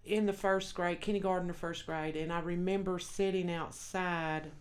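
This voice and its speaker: A woman's voice: fairly low pitch, fairly even intonation, moderate pace. A woman with a Texas accent, a guest minister sharing her testimony in an earnest storytelling way. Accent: Texan. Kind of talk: personal testimony.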